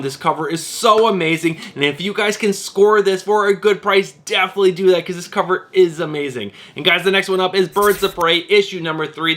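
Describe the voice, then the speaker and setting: Bright-voiced excited man, stuffy cold. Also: a little high. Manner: energetic, rambling, hyping. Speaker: comic book channel host. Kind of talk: casual hype vlog.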